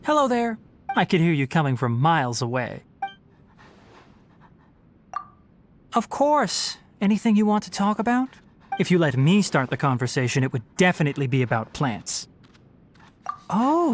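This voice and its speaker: A woman voicing a witty, scholarly young fox-eared forest ranger in a game, speaking articulately with a lecturing, gently teasing manner. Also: lowish voice, big pitch swings, moderate pace, slight background noise. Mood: bright, wry. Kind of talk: scripted game character voice lines.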